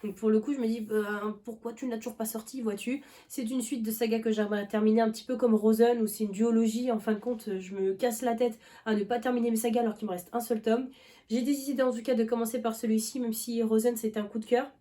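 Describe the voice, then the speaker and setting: A woman's voice: a little high, steady tone, quick pace, dark tone. A young woman vlogging about books in a loose, rambling chat, full of fillers and restarts, with a cough now and then. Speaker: book vlogger. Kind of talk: casual chat.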